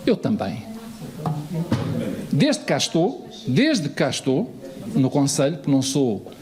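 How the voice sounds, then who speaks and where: Animated, high-voiced man addressing an assembly. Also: slow pace, crowd noise. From Lisbon, Portugal. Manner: emphatic, rhetorical questions and repetition. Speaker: municipal assembly deputy. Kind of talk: political speech in an assembly. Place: municipal assembly chamber.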